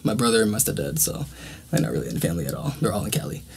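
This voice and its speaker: A man's voice: lowish voice, big pitch swings, rapid-fire, gravelly, darkish tone, slightly rough. Laid-back young man from California. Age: young adult. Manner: laid-back, loose, swears and laughs often. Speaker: rapper being interviewed. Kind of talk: casual interview.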